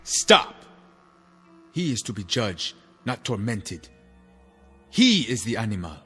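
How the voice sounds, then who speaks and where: An actor playing a principled, sceptical comrade of a rebel leader, grave and questioning, in a television drama. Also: male voice, fairly low pitch, sing-song, slow pace, a bit bright, breathy edge, faint music behind, exaggerated voice. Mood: stern.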